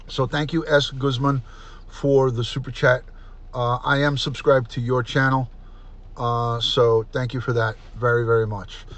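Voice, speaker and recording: A middle-aged man hosting a casual livestream, chatty and repetitive, often clearing his throat. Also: mid-range voice. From New York. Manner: chatty, repeats himself, frequent throat clearing. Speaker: livestream host, retired detective. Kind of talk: casual livestream chat, reading comments aloud.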